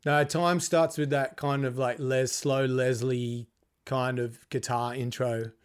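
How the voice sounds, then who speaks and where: A man of middle pitch steers a relaxed chat about an album. He asks his guest questions and adds his own picks in an easy, conversational way. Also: takes it slowly, clean tone. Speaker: podcast host. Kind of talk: casual music discussion.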